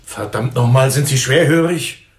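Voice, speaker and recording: A man's voice: mid-range voice, normal speed, echoey room, loud music behind the voice, lightly acted. A man acting in a radio drama as a hot-tempered, defensive bar owner, blustering and indignant.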